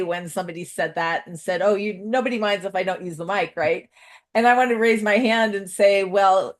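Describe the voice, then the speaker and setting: An earnest woman speaking up in a seminar, her voice mid-pitched and ordinary. She rambles a little as she works through her point in a conversational way. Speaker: seminar participant. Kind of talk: casual discussion.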